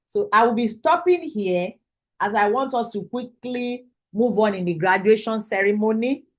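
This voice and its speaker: A woman's voice: on the high side, normal speed. A woman with a Nigerian accent presenting as a course instructor and host on a video call. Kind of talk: online presentation.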